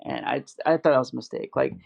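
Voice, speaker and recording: A man's voice: medium pitch, fast talker, vocal fry. A man in casual podcast chat, rambling with lots of fillers and restarts. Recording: dull lo-fi audio.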